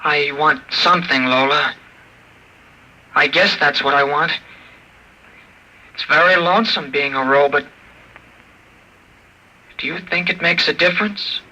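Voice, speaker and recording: An actor playing a gentle, naive male robot in a 1950s radio drama, asking simple, earnest questions. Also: on the high side, unhurried, pauses often, exaggerated voice, poor audio. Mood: plaintive.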